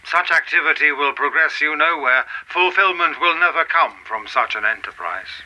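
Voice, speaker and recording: Man acting sharp, mysterious official, coolly menacing. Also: on the high side, lively intonation, poor audio. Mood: knowing, condescending. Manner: formal, measured, insinuating. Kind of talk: radio play acting.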